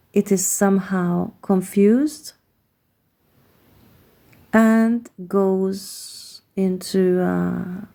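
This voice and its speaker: Hungarian-accented woman reading tarot cards, hesitant and unhurried, dark gravelly voice. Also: fairly low pitch. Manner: hesitant, searching for words with many pauses. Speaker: tarot card reader. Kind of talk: slow, reflective card reading.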